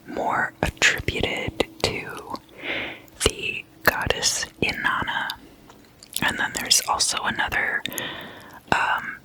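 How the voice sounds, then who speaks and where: An ASMR host speaks in a breathy, unvoiced whisper with a crisp, bright edge. The delivery is very slow and hushed, yet informal and playfully chatty. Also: rough recording. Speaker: ASMR host explaining demon folklore.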